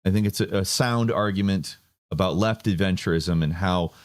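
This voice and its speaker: Sardonic low-voiced man commentator. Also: moderate pace. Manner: sardonic, rambling with fillers and restarts. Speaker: political commentator. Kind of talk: casual political commentary.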